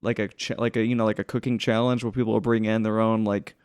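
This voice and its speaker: Clear-voiced man in grumbling casual chat. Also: lowish voice, level tone, fast, a little mellow, dry recording, clean studio sound. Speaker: podcast co-host.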